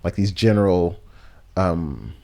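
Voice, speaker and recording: A man's voice: low-pitched, lively intonation, slow, creaky, mellow. A young man from the United States, a podcast guest talking through his thoughts in a reflective, rambling way, full of fillers and restarts. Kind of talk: casual conversation.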